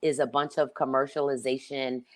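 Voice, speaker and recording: A woman's voice: a bass voice, flat delivery, normal speed. A woman in her fifties from Philadelphia, hosting a podcast and talking through personal memories in a conversational way, with many ums and rhetorical "right?" asides. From Philadelphia, United States. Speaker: podcast host. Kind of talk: reflective personal talk.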